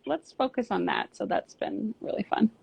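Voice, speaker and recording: A woman's voice: medium pitch, lively intonation, normal speed, gravelly, a little mellow, breathy edge. A woman musician chatting candidly as an interview guest, rambling with fillers and restarts. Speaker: band singer and bassist, guest. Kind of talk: casual interview chat. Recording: lo-fi dull audio.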